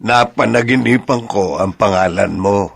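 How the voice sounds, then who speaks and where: An actor playing a devoted, middle-aged Filipino father in a radio drama, speaking earnestly and softly with a gravelly, husky voice. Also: lowish voice, a little slow, full character voice. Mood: playful, fond. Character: tender, devoted adoptive father and gentle husband. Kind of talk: Tagalog radio drama acting.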